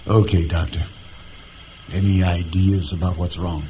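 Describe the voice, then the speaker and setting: A man playing a bitter, sharp-tongued, ailing psychic pilot in a science fiction radio drama, sarcastic and abrupt. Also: deep voice, little pitch movement, measured pace, slight background noise. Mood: weary, subdued. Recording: muffled lo-fi audio with music behind.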